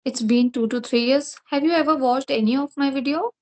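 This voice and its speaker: A woman's voice: sits high, fairly quick. A woman with an Indian English accent, hosting a casual online conversation, friendly and talkative. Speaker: host.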